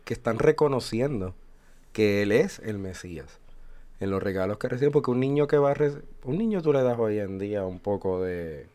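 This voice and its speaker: A man's voice: lowish voice, expressive, normal speed, dark tone. A man in his forties co-hosting a religious radio chat, explaining thoughtfully with hesitant fillers. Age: 40s. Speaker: radio show co-host.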